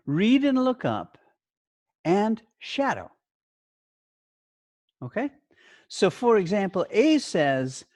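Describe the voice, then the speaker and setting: A teacher leads a lesson with simple, step-by-step directions. The pitch moves expressively up and down while the delivery stays slow and deliberate. The sound is dry, with little room in it. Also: darkish tone, no room sound. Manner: clear simple explanations with short instructions and check questions. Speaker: teacher training English language teachers.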